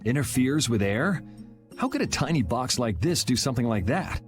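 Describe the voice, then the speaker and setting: A man's voice: medium pitch, sing-song, moderate pace, faint music behind, natural voice. A man playing a cool young swordsman in video game dialogue, dry, nonchalant and teasing.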